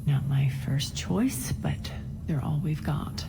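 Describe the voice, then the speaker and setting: A woman's voice: deep voice, takes it slowly, creaky, exaggerated voice. An actress playing a ruthless, proud alien crime queen in a video game, commanding, cool and sardonic. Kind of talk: video game cutscene acting. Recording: long reverb, loud game music behind.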